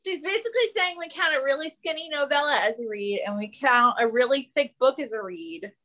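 A woman's voice: high voice, animated, fairly quick, a bit bright. A woman in casual podcast chat, stating her opinions with emphasis. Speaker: podcast panelist.

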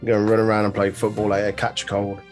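A man's voice: on the low side, completely flat delivery, fast, faint music behind. A man co-hosting a wrestling news podcast in casual chat, swearing easily and reading out news items. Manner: swears casually, laid-back banter. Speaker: podcast co-host.

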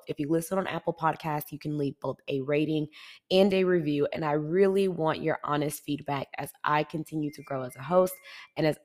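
A woman hosting a faith-based self-improvement podcast, stuffy and congested from allergies, chatting directly to her listeners. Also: sits low. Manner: chatty, warm address to listeners. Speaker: podcast host.

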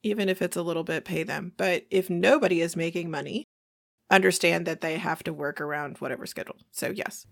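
A woman's voice: fairly low pitch, fairly even intonation, brisk, vocal fry. An American woman talking candidly and informally as a podcast interview guest. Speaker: podcast guest, audio drama creator. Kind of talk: casual interview chat.

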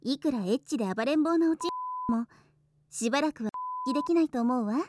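A woman's voice: high voice, brisk, noisy background, lightly acted. A woman playing a teasing, doting older-sister hostess in a Japanese voice drama, with a coy, soothing delivery. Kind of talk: Japanese situation voice drama acting.

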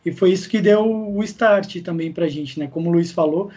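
A man's voice: sits high, moderate pace, mellow, husky. A Brazilian man chatting casually as a guest in a podcast interview. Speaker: podcast guest, audio drama creator.

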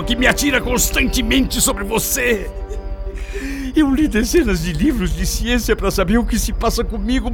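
An obsessed, jealous father in a radio soap, his high voice thick with gravelly creak. The delivery is anguished and melodramatic, prone to eruptions, with music clearly underneath. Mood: desperate, jealous. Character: tormented, obsessed adoptive father, lamenting and violently jealous. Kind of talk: radio soap opera acting.

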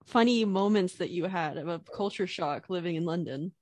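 A woman hosting a podcast interview, asking chatty, halting questions and laughing along. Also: fairly low pitch, darkish tone. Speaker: podcast host.

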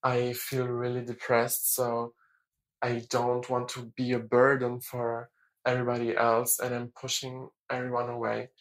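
A nineteen-year-old woman from Germany with a German accent, calling in for advice in a hesitant, halting, earnest way. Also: very deep voice, completely flat delivery, poor audio. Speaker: caller asking for advice. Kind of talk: personal voicemail-style account.

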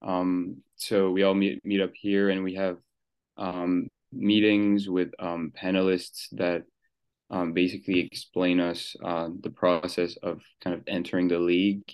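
A man's voice: low voice, flat delivery, unhurried, a little mellow, clear, resonant tone, poor audio. A young man from Switzerland, a new pro soccer player, answering interview questions casually with many fillers and restarts. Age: young adult.

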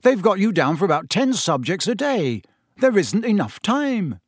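A man voicing an excitable, disbelieving teenage schoolboy in an audiobook story. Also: sits high, moderate pace, no echo, studio quality, voice pushed up. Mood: incredulous, amused.

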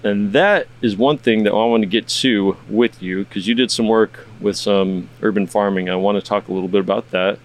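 A man's voice: on the low side, moderate pace, few pauses. A man hosting a podcast, chatting in a rambling, laid-back way and swearing now and then. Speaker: podcast host. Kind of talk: casual interview chat.

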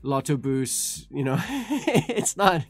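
A middle-aged man from Canada, chatting casually and warmly on a call, with many fillers and restarts. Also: medium pitch, slow, soft background music. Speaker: caller in a language exchange chat. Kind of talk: casual chat.

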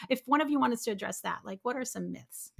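A woman hosting an interview on a video call, chatty and animated, with asides and laughs. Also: fairly high pitch, fast, gravelly, husky. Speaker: interviewer host. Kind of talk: casual interview.

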